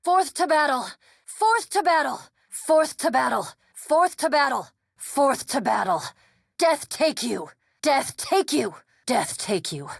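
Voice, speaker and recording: A woman's voice: high voice, lively intonation, slow pace, gravelly, no room sound, full character voice. A woman voicing a courteous, scholarly undead elf adventurer in a video game, with formal, precise speech and dry wit. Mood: fierce. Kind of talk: video game character dialogue and barks.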